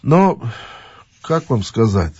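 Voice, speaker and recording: A middle-aged man speaking as a regional official in a halting official address, with frequent fillers and restarts. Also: medium pitch, big pitch swings, darkish tone, husky, no room sound, lo-fi sound.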